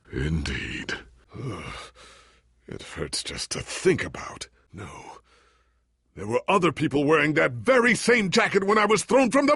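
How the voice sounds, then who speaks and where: A gravelly, weary warrior hero. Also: male voice, on the high side, sharp tone, no echo, studio quality, heavily acted. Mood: weak, regretful, then confused, alarmed. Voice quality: raspy, gravelly. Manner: formal, earnest, dramatic phrasing. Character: weary, confused, humbled male warrior hero. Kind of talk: dramatic video game voice acting.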